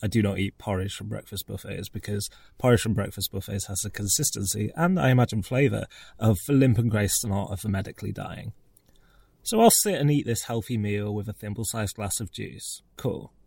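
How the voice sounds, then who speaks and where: A man with a gravelly, husky voice reading out a humorous scripted personal essay. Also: fairly low pitch, fairly quick, a little mellow, no room sound. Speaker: essayist reading own piece.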